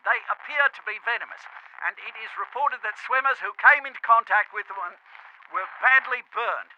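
An actor playing a grave, urgent news correspondent in a radio drama, reporting formally over a live radio feed. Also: male voice, extremely high pitch, moderate pace, muffled recording, a little hiss or hum. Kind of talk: vintage radio newscast acting.

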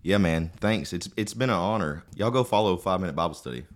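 Deep-voiced man, earnest and plain-spoken. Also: expressive, a little fast, background noise. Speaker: podcast guest. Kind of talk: casual closing remarks.

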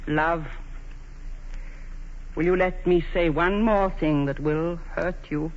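A woman's voice: lowish voice, fairly even intonation, slow pace. An aging English queen with commanding, theatrical dignity and a vulnerable heart. She speaks in the grand manner of 1940s radio theatre. The broadcast is vintage and dull in tone. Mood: tender, pleading. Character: proud, aging English queen, imperious yet vulnerable in love.

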